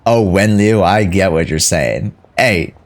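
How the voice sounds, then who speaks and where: A man live-streaming a game, chatting casually in a slangy, joking way with drawn-out phrasing. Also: sits low.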